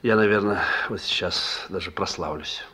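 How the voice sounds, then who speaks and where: A man's voice: sits low, moderate pace, pushed and bright, breathy edge, full character voice. An actor playing a reformed young crook and proud new father in a Soviet radio drama, talking in a colloquial, boastful, earnest way. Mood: tender, boastful. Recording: noisy old Soviet radio recording.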